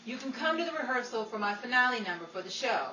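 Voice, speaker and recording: A woman playing a brisk, sassy Hollywood showgirl and presenter in old-time radio style. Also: fairly high pitch, animated, crowd noise, close to an everyday voice. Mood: exasperated, sassy. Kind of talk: old-time radio comedy acting and newsflash narration. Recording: echoey, distant recording with faint noise.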